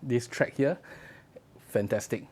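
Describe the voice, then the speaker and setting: A man's voice: medium pitch, takes it slowly, a little mellow, breathy edge. A man reviewing audio gear in a casual, conversational way. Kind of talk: casual spoken product review to camera.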